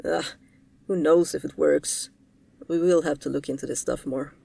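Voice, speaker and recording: A woman's voice: low-pitched, fairly even intonation, moderate pace, creaky, mellow, slightly rough, far from the mic, heavily acted. A woman playing a laid-back ghost hunter in an audio roleplay, talking casually and offhandedly to a rookie. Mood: dutiful. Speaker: paranormal investigator character.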